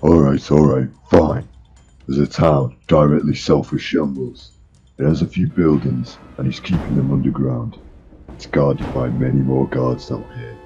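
Man acting a very deep, husky captive guard. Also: unhurried, warm tone, full character voice. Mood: grudging. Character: wounded male captive enemy guard, defiant then yielding. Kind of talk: improvised video game roleplay. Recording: dull lo-fi game voice chat, music behind.

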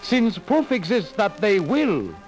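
A man playing a wise old sage in a 1950s sales film, speaking in a slow, solemn, proverb-like manner. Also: high-pitched, sing-song, a little mellow, soft background music, full character voice. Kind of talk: 1950s industrial film acting.

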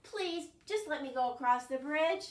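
A woman's voice: high-pitched, moderate pace, voice pushed up, lightly acted. A woman voicing a nervous male billy goat who stammers and begs. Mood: pleading. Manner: stammering, pleading. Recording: roomy echo, distant mic.

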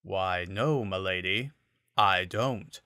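A man's voice: deep voice, slow, bright, forceful tone, clear, resonant tone, no room sound, studio quality, voice pushed down, heavily acted. Casting: man as tipsy male panther, smug flirt, slurred courtly drawl, storybook drama.

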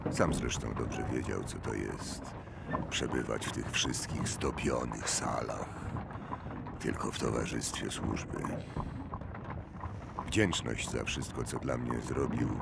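A man's voice: very deep voice, gravelly, exaggerated voice. An actor in an audio drama playing an old, wealthy businessman, genial on the surface but burdened by loss, speaking hesitantly and ponderously. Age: older. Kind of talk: radio drama acting. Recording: loud vehicle noise and ambience behind.

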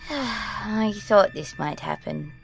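A woman's voice: medium pitch, extremely slow, gravelly, faint music behind, exaggerated voice. An actress playing a prim, ancient girl in a nine-year-old's body, in an audio drama. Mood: prim, composed. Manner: proper, old-fashioned formal phrasing.

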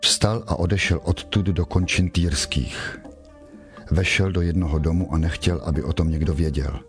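A man with a deep voice narrates a scripture text. His delivery is measured and solemn, clearly scripted, with music playing behind him. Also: steady tone.

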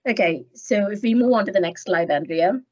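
A woman with a cold and a slightly nasal voice, giving an online medical lecture in a measured way with many ums. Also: mid-range voice, lively intonation, a little mellow. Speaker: clinical geneticist giving a talk. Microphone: headset on a video call. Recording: muffled video call audio.

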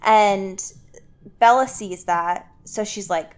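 A woman hosts a casual podcast, recapping a story in mid-pitched speech. Her wording is loose and slangy, and she acts out what characters say as she tells it. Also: slow. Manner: animated storyteller acting out characters' reactions in slang. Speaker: podcast host.

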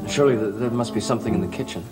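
An actor in his thirties playing an earnest, troubled gentleman in a gothic soap opera, with an intense, deliberate manner. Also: lowish voice, lightly acted, low-quality recording. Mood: evasive, apologetic. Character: earnest, troubled young gentleman guarding a secret. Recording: vintage TV soundtrack with music underneath.

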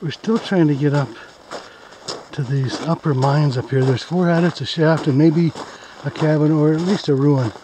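A man casually narrating as he hikes a desert canyon and explores old mine tunnels, relaxed and chatty, pointing things out along the way. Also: a little high, normal speed, noisy background. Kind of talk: casual narration while hiking.

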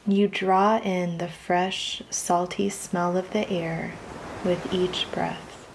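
A woman reading a scripted guided imagery meditation in a calm, soothing, measured manner with long pauses. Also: on the low side, noisy background.

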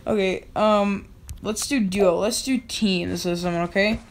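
Excitable young woman narrating gameplay. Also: medium pitch, slight background noise. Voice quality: slightly raspy. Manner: excitable, yells at other players, quick laughs. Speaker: gaming channel host. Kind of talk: casual gameplay commentary.

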